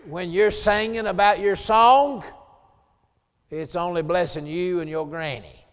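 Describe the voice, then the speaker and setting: A man in his late forties with a Southern US accent, preaching a revival sermon in a church. He drops into quiet joking asides with the congregation, then climbs to hollered scripture. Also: high-pitched, unhurried, clean tone, big-room sound. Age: 40s. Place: church sanctuary.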